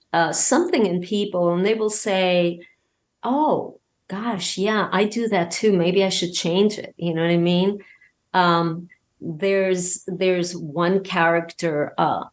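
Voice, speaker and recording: A woman guest chatting casually in an interview about her own creative work, acting out bits of dialogue as she tells it. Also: on the low side. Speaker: guest, audio drama podcast creator.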